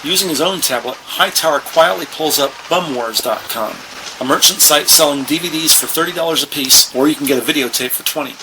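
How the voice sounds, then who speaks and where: An actor narrating a police procedural audio drama as a measured, factual male storyteller. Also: on the high side, noisy background, natural voice.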